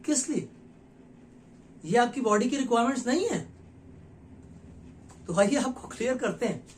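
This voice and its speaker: A man's voice: very high voice, lively intonation, a little hiss or hum. A man from India hosting his own health channel, explaining things earnestly and persuasively to his viewers and often asking them rhetorical questions. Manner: addresses viewers directly, with many rhetorical questions; earnest and persuasive, with short pauses between phrases. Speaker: nutrition educator and channel host. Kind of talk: explanatory talk to camera.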